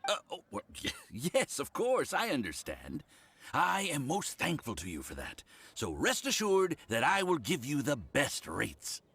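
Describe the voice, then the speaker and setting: A man's voice: a little high, huge pitch swings, unhurried, vocal fry, fairly bright tone, slight background noise, heavily acted. A man playing an eager, overly polite landlord in an anime-style game, gushing and repeating himself. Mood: nervous. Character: effusive, obsequious male landlord, eager and polite.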